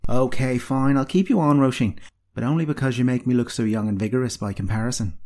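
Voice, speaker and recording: A man's voice: medium pitch, quick pace, a little mellow, pitched above the performer's own voice, natural voice. A man voicing an old female healer, a wry and stern but tender mistress with a dry, teasing, commanding way of talking. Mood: teasing, gentle. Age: older.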